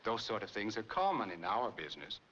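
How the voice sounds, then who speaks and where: A polite middle-aged salesman in a 1940s film. His voice is low and bright, over a faintly noisy old soundtrack. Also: big pitch swings, fairly quick, highs cut off. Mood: polite, businesslike.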